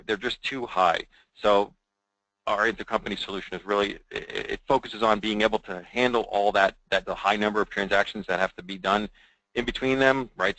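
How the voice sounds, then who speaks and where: A man giving an informal software demo as a presenter, in a conversational way that often checks in with the listener. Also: fairly low pitch, dry recording, low-quality recording.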